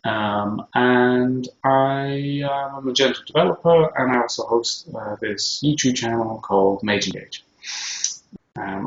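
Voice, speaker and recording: A man from Scotland with a British accent, talking casually and haltingly as the host of a video show, recorded in his kitchen. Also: medium pitch. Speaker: host interviewing himself. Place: home kitchen. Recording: roomy, echoey, dull sound with faint noise.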